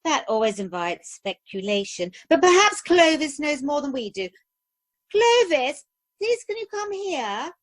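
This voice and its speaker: A woman acts out a haughty Edwardian lady guest in a radio play. She gossips formally and archly, in a high, lively, swooping voice, recorded dry and close. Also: a little slow, dry recording. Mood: arch, inquisitive. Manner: arch, formal, theatrical. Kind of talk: amateur radio play acting.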